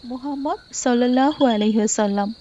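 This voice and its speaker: High-voiced devout cartoon mother, played by a woman. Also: fast talker, warm tone, close to an everyday voice. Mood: gentle. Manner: tender, pleading, preachy. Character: gentle, devout mother, long-suffering wife of a drunkard. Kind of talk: Islamic moral cartoon voice acting. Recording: muffled, with music behind.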